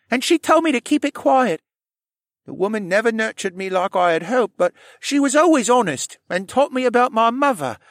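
A man voicing a shy, troubled young boy in an audiobook, earnest and halting in his speech. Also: high voice, fairly bright tone, no echo, clean studio sound, pitched above the performer's own voice, heavily acted. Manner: earnest, halting, stammering over words. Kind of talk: audiobook character voice.

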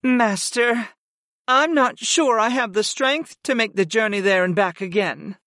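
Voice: a little slow, bright, forceful tone, no room sound, clean studio sound. A narrator voicing a devoted female winged succubus servant from a fantasy audiobook, submissive and pleading, with a sighing purr. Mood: hesitant, pained. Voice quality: high, sighing, purring.

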